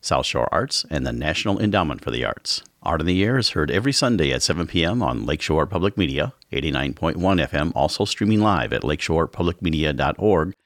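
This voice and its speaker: Man, radio host, very low voice, dry studio sound, announcer reading. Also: a bit bright, dry recording. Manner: reads announcements, then loose with fillers. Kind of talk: radio show hosting.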